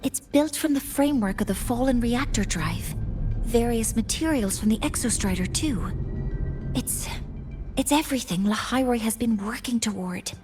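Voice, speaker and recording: A woman's voice: fairly high pitch, moderate pace, a little mellow, natural voice. A woman playing an earnest young scientist in a video game, speaking formally and precisely as she explains things. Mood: earnest, solemn. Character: earnest young female scientist, briefing her mentor. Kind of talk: scripted game dialogue. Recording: music behind the voice.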